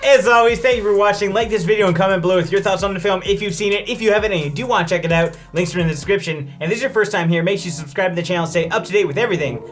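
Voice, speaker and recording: Higher-pitched man chatting casually, swearing freely. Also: very fast, few pauses. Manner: profane, slangy. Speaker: review show host. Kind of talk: casual film review chat. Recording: music under the voice. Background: background music.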